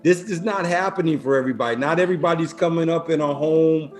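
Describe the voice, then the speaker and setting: Male podcast host, middle-aged. Somewhat higher-pitched, casual, affirming interviewer who builds questions slowly, with faint music.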